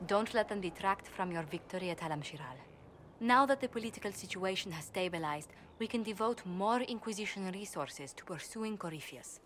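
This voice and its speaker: An actress playing a composed, diplomatic noblewoman ambassador in a video game, speaking politely and formally, with measured firmness. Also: mid-range voice, lively intonation, a little hiss or hum, lightly acted. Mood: politely firm. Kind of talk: video game cutscene acting.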